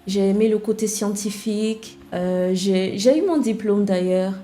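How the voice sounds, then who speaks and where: A young woman from Nigeria whose first language is English, speaking French as a guest in an interview, candid and soft-spoken with hesitant fillers. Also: mid-range voice, unhurried. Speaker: guest, web developer. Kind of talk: interview conversation.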